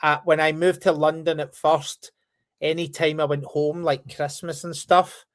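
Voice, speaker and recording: Anglicized Scottish man, slightly high voice. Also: level tone, unhurried. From Scotland, near Glasgow. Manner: blunt, laughs easily, swears casually. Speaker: guest. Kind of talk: casual podcast chat.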